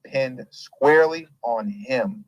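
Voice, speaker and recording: A man's voice: medium pitch, takes it slowly, no room sound, low-quality recording. A man hosting a political news show, reading an article aloud and stopping often to add his own remarks and asides. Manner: reads a passage, then stops to add his own remarks; animated, interrupts himself with asides and laughs.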